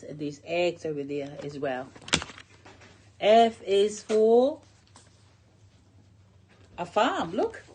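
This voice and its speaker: Slow-paced woman reading to a child. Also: lowish voice, a little mellow, a little hiss or hum. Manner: simple short phrases, draws out key words, points things out with questions. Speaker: storyteller reading to a young child. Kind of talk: picture-book read-aloud for a young child.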